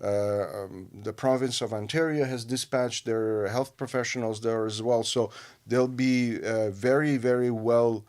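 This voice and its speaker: A man from Armenia with an Armenian accent, co-hosting a news discussion and talking haltingly with many fillers and restarts. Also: medium pitch, fairly even intonation, a little mellow. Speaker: radio host.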